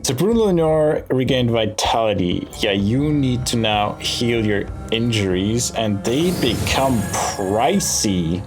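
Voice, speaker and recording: Slow-paced man narrating his gameplay. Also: mid-range voice, lively intonation. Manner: thinking aloud while deciding. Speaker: gaming video host. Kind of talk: casual game playthrough commentary. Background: game music.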